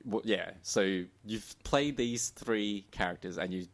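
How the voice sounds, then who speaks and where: A man with a fairly low voice co-hosts a casual podcast. He explains things conversationally, haltingly, often repeating a word before he carries on. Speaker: podcast co-host.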